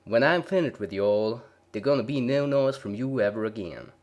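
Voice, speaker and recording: A big male farm pony, voiced by a man, talks. He stays placid and pleasant with a quiet menace underneath, as in a dark storybook tale. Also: mid-range voice, expressive, brisk, highs cut off, close to an everyday voice. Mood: calm menace. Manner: placid, folksy, pleasant while threatening.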